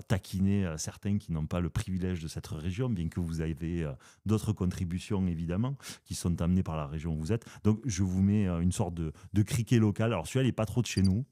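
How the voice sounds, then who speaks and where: A deep-voiced man with a southern French accent hosts a relaxed podcast chat. His tone is dark and mellow, and he talks haltingly, full of fillers and playful asides. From southern France. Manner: hesitant, many fillers, playful. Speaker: podcast host.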